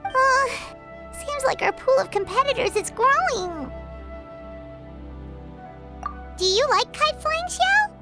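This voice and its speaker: A woman voicing a tiny, chirpy, childlike fairy companion in a video game, with a squeaky, perky voice. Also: lively intonation, a little slow. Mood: curious, teasing. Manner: refers to herself in third person, perky. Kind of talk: English video game voice acting. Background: loud game music.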